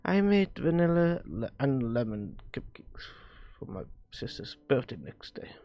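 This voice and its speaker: A man streaming a visual novel, reading its dialogue aloud in a halting way with stumbles and rereads. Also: medium pitch, sing-song, vocal fry, dull audio.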